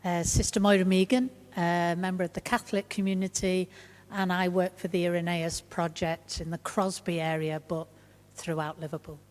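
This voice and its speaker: A frank woman with a lowish voice speaks on a church panel and drops in touches of dry humour. The big building gives her words a long, ringing tail. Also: level tone, moderate pace, a little mellow, long reverb tail. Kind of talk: panel discussion answer. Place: large parish church.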